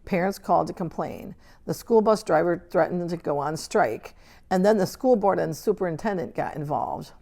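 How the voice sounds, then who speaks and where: A woman's voice: lowish voice, normal speed, vocal fry, dark tone. A woman reading a children's book aloud as a scripted narration. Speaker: reader.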